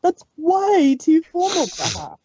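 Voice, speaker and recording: High childlike pony mare, pleading and stammering, read by a woman. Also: wildly swooping pitch, slow pace, dark tone, muffled recording, a little hiss or hum, exaggerated voice. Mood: realizing. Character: bubbly pink female pony, now distraught. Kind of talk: fanfic read-aloud on stream.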